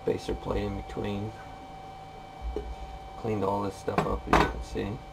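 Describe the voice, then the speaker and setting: A man's voice: fairly low pitch, monotone, measured pace. A man giving a casual, plain-spoken hands-on repair walkthrough in short, informal phrases. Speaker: machinist demonstrating a repair. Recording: clear background noise, workshop ambience.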